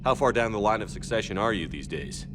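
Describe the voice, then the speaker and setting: An actor playing a direct, supportive military commander in a video game, firm and questioning. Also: male voice, on the low side, expressive, brisk, noisy background, close to an everyday voice. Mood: steady, probing. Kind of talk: video game dialogue acting. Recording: clear vehicle ambience behind voice.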